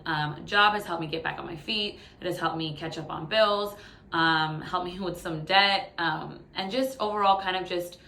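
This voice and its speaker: A woman in her late twenties talks casually to her phone as a video blogger. Her voice sits a bit low for a woman, and the room adds a clear echo. Her delivery is informal and candid, like catching viewers up on her life. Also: sharp tone. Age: late 20s. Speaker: YouTube vlogger. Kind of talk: casual personal update to camera. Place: living room by a window. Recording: echoey room sound on phone.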